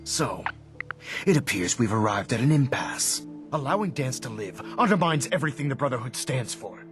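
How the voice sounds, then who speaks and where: An actor playing a stern, unforgiving military commander in a video game, oratorical, emphatic and contemptuous. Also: male voice, medium pitch, big pitch swings, moderate pace, close to an everyday voice. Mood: cold, grudging. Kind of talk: dramatic video game acting. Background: cinematic music.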